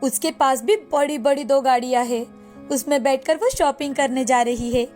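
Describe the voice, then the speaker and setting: A woman's voice: high-pitched, normal speed, a little mellow. A woman narrating a scripted moral story as a storyteller. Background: music.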